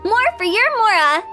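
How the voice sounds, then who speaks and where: A woman's voice: very high-pitched, sharp tone, heavily acted. A woman playing a playful, eccentric young funeral parlor director in a video game, girlish, teasing and theatrical. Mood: playful, enthusiastic. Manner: teasing, theatrical, breezy salesmanship. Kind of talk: English video game voice acting. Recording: faint music behind the voice.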